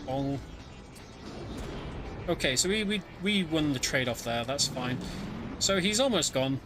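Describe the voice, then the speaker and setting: A man's voice: on the high side, animated, a little slow, over loud music. A man giving casual live commentary as he plays a video game, explaining the story as he goes. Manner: explanatory, narrates the story while playing. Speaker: speedrunner commentating own game run.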